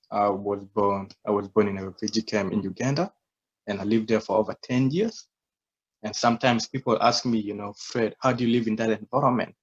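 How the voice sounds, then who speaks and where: A man's voice: lowish voice, slightly rough, muffled recording. A young man from South Sudan in his twenties, a humble and reflective guest telling his story in a casual interview.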